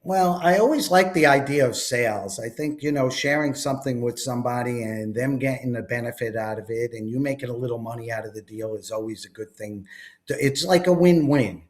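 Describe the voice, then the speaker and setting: A man's voice: medium pitch, fairly quick. A middle-aged man with a Long Island accent, telling his life story as an interview guest, rambling with many fillers. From New York, USA.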